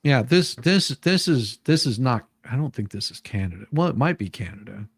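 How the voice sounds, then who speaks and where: Husky-voiced man, halting casual streamer. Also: medium pitch, lively intonation. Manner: halting, repeats words. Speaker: live stream host. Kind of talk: casual live stream chat.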